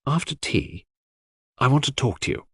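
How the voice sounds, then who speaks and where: Here is a man reading as a gentle young woman from a classic mystery audiobook. The voice is raised and husky, swooping as it confides. The delivery is hesitant, wistful and plaintive. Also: mid-range voice, wildly swooping pitch, moderate pace, no room sound, voice pushed up, exaggerated voice. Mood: hushed.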